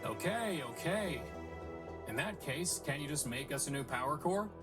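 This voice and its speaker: A high-voiced, wisecracking robot sidekick from a video game, acted by a man. He is small, chatty and flippant, with a sarcastic edge to his talk. He sounds a little away from the mic, with loud music underneath. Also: lively intonation, normal speed, distant mic, close to an everyday voice. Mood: coaxing, wry.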